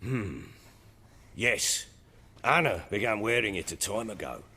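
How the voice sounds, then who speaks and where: An actor playing a guarded, gossipy rural villager in a video game. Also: male voice, lowish voice, animated, unhurried, pushed and bright, husky, in a large hall, heavily acted.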